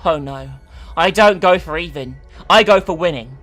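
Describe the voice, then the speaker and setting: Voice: medium pitch, normal speed, a bit bright, faint music behind, close to an everyday voice. A narrator voicing a vengeful teenage bully boy with a taunting, threatening manner in a horror story.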